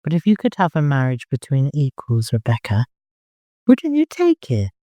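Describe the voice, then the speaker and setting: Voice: mid-range voice, wildly swooping pitch, moderate pace, dark tone, no room sound, clean studio sound. A narrator voicing a wistful, worldly woman in an audiobook, speaking earnestly and with emotion. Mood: pleading.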